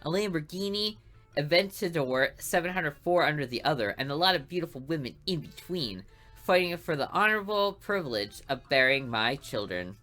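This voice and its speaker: A woman's voice: low voice, lively intonation, normal speed, faint music behind. A woman voicing a brash, show-off teenage boy with big dreams, reading a visual novel aloud. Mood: boastful. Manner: boastful and loud.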